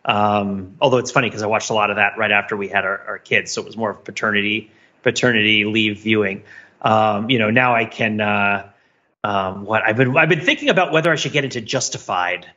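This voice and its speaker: Middle-aged American male podcast co-host, bright forceful voice, rambling and self-questioning, on slightly muffled audio. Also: lowish voice, a little fast. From United States. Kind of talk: casual podcast chat.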